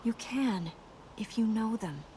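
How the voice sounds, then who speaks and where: A woman playing a dutiful, earnest young princess in a video game, composed and gently teasing in manner. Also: fairly high pitch, animated, slow pace. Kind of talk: naturalistic video game dialogue. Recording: game ambience noise behind voice.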